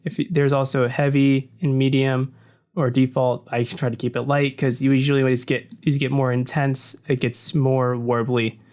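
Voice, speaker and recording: A deep-voiced man talks through an audio-editing walkthrough in an easygoing, conversational way. He records at his desk in an untreated home room. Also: moderate pace. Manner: relaxed and explanatory, with frequent "so" and "uh". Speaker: tutorial narrator. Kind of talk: casual screen-recording walkthrough. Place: home room without soundproofing. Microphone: desk mic facing the computer screen.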